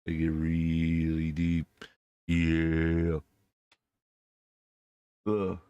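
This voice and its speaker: A man hosting his channel while sick, his voice deepened by illness, talking casually to viewers with many fillers and restarts into a desk microphone that clips when he is too close. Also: completely flat delivery, very slow, warm tone, smooth, no room sound, highs cut off. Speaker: channel host.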